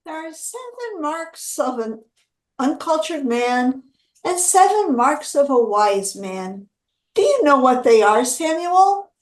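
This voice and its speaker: A woman playing a gentle elder who quizzes a child in a stage drama, with deliberate delivery. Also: high-pitched, very animated, echoey room, exaggerated voice, poor audio. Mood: gentle, approving.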